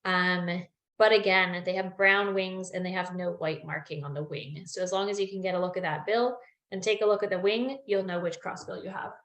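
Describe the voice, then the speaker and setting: A woman's voice: fairly low pitch, steady tone, moderate pace, a bit bright. A young woman presenting a bird identification lesson on a video call, friendly and explanatory with frequent ums, slightly losing her voice. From Newfoundland. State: losing her voice, clearing throat. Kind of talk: online webinar lesson. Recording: echoey room on a video call.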